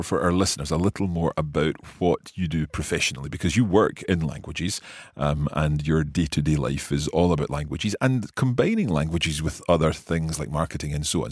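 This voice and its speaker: Low husky guy hosting a podcast, bouncy intonation, friendly and chuckly. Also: darkish tone, no room sound, studio quality. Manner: friendly, chuckles often, some hesitant fillers. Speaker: podcast host and interviewer. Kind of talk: scripted intro then relaxed interview chat.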